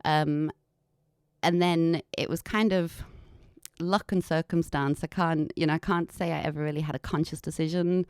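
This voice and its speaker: A woman's voice: deep voice, fairly even intonation, normal speed, clean tone, dry recording, studio quality. A woman in her thirties with an anglicized South African accent, telling her story candidly as an interview guest. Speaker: podcast guest, environmental consultant and business owner. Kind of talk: conversational interview, personal story.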